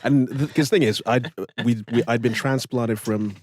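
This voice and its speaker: A man's voice: mid-range voice, fairly even intonation, moderate pace, a little mellow, a little hiss or hum. A man from Nigeria co-hosting a casual live stream chat, rambling with lots of fillers and laughs. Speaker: co-host.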